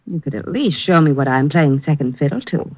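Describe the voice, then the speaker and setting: A woman's voice: deep voice, fairly quick, husky, no room sound, exaggerated voice. An actress playing a playful, flirtatious woman in a 1950s radio drama, with a teasing manner. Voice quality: teasing, lively. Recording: dull, dry old radio broadcast.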